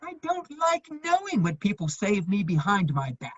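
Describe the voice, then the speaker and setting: Husky, wildly animated foppish gentleman played by a woman. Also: medium pitch, normal speed, no echo, dull audio. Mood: dry charm. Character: foppish, lovestruck gentleman just over thirty, charming wit. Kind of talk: stage comedy of manners, dramatic reading.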